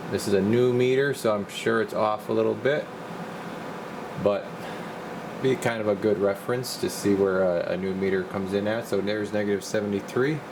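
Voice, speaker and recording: A man's voice: fairly low pitch, fairly even intonation, moderate pace. A man giving a casual, folksy, rambling walkthrough as a repair technician. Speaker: radio repair technician and video host. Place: indoor repair workbench. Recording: noisy room with clear background hum.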